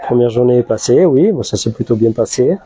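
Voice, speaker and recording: Halting southern French man. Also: medium pitch, animated, dark tone, slightly rough, no echo. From southern France. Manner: halting, many restarts and fillers. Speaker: tattoo artist guest. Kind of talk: casual interview. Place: tattoo convention hall.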